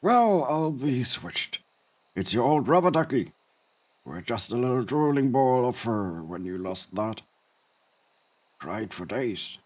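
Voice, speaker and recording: An actor voicing a folksy, fatherly old animal who fishes, speaking in an unhurried way in a video game. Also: mid-range voice, very animated. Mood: fond teasing.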